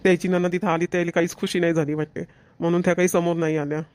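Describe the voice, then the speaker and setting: Cartoon son-in-law played by a man, earnest but easily slighted, high male voice, quick Vidarbha Marathi, dry sound. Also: little pitch movement, a little mellow, no room sound, natural voice. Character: concerned, touchy son-in-law visiting his wife's family. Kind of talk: family comedy cartoon dialogue.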